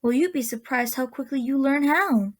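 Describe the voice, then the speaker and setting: A woman playing a kindly old woman of a magical land in a radio drama, speaking with gentle, genteel endearments. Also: high voice, moderate pace. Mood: warm, busy.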